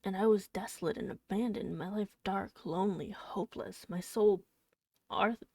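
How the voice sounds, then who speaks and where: Storybook role: tormented blind gentleman, read by a woman, imperious and impassioned, mellow with a gravelly edge, close dry sound. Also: medium pitch, normal speed, no room sound, natural voice. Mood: yearning disbelief.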